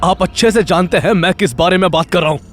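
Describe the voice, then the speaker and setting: A male actor as an intense, demanding young man in an audio drama. His voice is pitched high for a man, and he questions emphatically over light music. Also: fast talker, lightly acted. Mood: angry, demanding.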